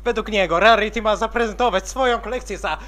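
A woman voicing an excitable young female pony in an amateur cartoon dub. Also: medium pitch, fast, close to an everyday voice. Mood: giggly excitement.

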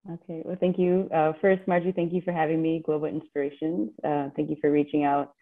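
A woman's voice: deep voice, level tone, brisk. A woman in her thirties, from the Philippines and raised in the US, talking casually as a guest on a video call, with frequent laughs and fillers. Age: 30s. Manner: chatty, laughs often, many fillers and restarts. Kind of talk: casual interview answers. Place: home office with bookshelves. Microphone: webcam on a video call. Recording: tinny, phone-like video call audio.

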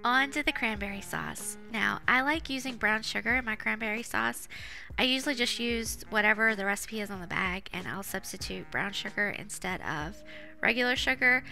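A woman's voice: fairly high pitch, lively intonation, normal speed, creaky, sharp tone. A woman giving a casual, chatty cooking voice-over as a home cook. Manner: chatty, informal. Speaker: home cook, vlog host. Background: background music.

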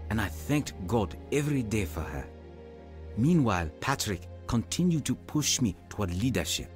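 A man's voice: fairly low pitch, natural voice. An actor playing a humble, self-doubting young African evangelist, narrating his own story in a radio drama. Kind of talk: dramatised first-person narration.

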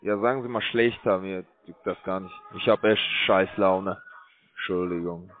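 A man calling from a call center, rambling in a bored, crude, halting way. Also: low voice, fairly even intonation. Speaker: call center scam caller. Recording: dry tinny phone line.

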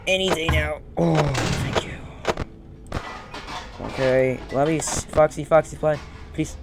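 A man's voice: on the high side, fairly even intonation, takes it slowly, heavy background noise. A young man giving casual gameplay commentary, rambling and muttering as he talks to the game's characters. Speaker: gaming streamer. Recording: loud game music behind voice.

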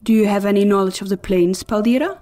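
A woman's voice: medium pitch, quick pace, mellow, natural voice. An actress playing a gracious, self-assured noblewoman in a fantasy audio drama, courteous and frank. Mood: poised, courteous. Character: gracious, self-assured highborn lady seeking a ward.

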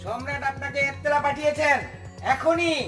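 A man voicing an excitable servant and townsman in a cartoon, brisk and eager. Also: very high voice, soft background music. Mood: urgent. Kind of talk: cartoon voice acting.

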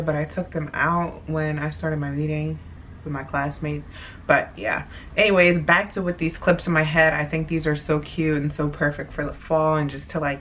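Deep-voiced young woman chatting casually. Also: a bit bright, slight background noise. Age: young adult. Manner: chatty, laid-back, playful asides. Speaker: vlogger. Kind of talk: casual haul vlog. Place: small bedroom, indoors. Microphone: camera at desk distance.

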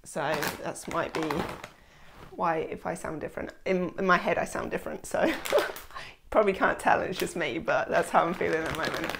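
A chatty woman in her thirties, talking to camera at home about things she bought. Her voice sits a little low and sounds slightly nasal from a starting cold. She rambles easily, full of "like" and "um". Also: expressive, normal speed, gravelly, background noise. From England. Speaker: lifestyle vlogger. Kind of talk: casual haul chat to camera. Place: small indoor room at home.

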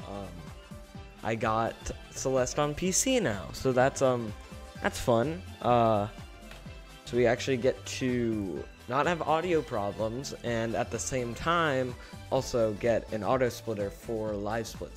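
A teenage boy streaming a game from his bedroom, chatting casually and rambling while he fiddles with his setup, his voice a bit gravelly today. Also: mid-range voice. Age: teens. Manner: rambling, relaxed, trails off while fiddling with settings. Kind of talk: casual livestream chat. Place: small bedroom with gaming chair. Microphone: desk microphone close up.

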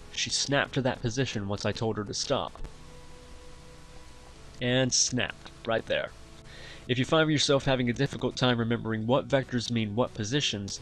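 A man hosting a software tutorial, explaining each step in an instructional way. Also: medium pitch. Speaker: tutorial host. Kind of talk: software tutorial narration over screen recording.

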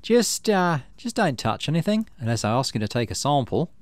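A man's voice: fairly high pitch, sing-song, normal speed, no room sound, pitched above the performer's own voice. A man voicing a breezy, protective woman chief surveyor in a visual novel, with folksy informal talk. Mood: breezy, cautioning. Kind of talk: acted visual novel dialogue.

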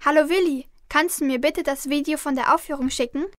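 A woman's voice: high-pitched, a little fast, a bit bright, no room sound, clean studio sound. A young girl playing an innocent theatre actress in a crime radio play. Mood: earnest. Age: child about 10 to 13.

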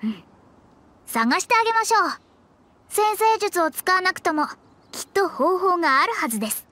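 A woman's voice: extremely high pitch, very animated, moderate pace, pushed and bright, dry recording, full character voice. A woman playing a proud young female astrologer in an anime game, formal, earnest and lecturing. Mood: composed.

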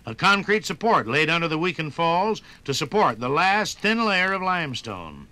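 A man narrating a scripted 1950s radio documentary in an emphatic, measured and dramatic announcer's style, heard on an old broadcast record. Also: a little high, big pitch swings, bright, forceful tone, dry recording.

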